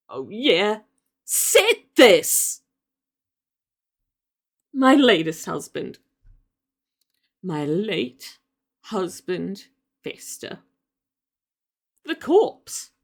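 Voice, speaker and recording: A woman playing a self-pitying, spoiled villainess wife who kills, in a melodramatic, drawn-out comedic monologue. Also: fairly high pitch, full character voice. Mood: scornful. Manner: melodramatic, drawn-out, emphatic delivery.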